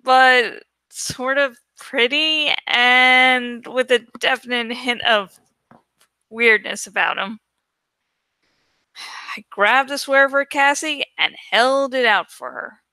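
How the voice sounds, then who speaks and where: A woman with a bright, high voice reads a young adult story aloud. She takes it slowly and deliberately, giving it dramatic expression, and the recording is dry and close. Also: clean tone, dry recording. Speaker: audiobook reader. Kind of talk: expressive reading of a young adult novel in first person.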